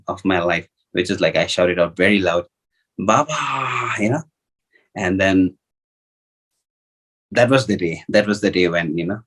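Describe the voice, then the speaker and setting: A man with a notably low voice and a Nepali accent, a native speaker of Nepali. He shares a personal testimony in an informal storytelling way. His delivery is halting, circling back over phrases and thinking aloud through many fillers. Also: rough recording. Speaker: devotee sharing personal testimony.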